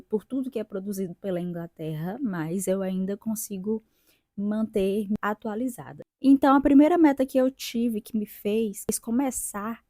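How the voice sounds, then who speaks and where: A woman in her twenties from Brazil, an English teacher and linguist, talking about her own experience in a reflective vlog with pauses and restarts. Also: medium pitch, dark tone, no echo, clean studio sound. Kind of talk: casual personal vlog.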